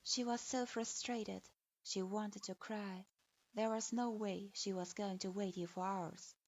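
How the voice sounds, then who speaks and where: A woman reading a dark fanfiction story aloud as its narrator, in a steady, even, scripted manner. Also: mid-range voice, measured pace, a little hiss or hum. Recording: dull dry lo-fi recording.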